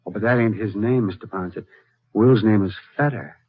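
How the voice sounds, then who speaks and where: Husky gossipy western townsman played by a man. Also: fairly low pitch, moderate pace, no room sound, close to an everyday voice. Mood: surprised, amused. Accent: American. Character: gossipy, chatty small-town western local man. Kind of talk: old-time radio western drama acting. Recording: dull vintage radio recording.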